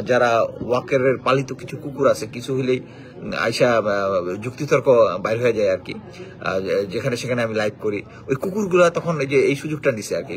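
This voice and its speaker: A man with a Bangladeshi accent giving casual off-the-cuff commentary, halting and mixing in English words. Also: mid-range voice.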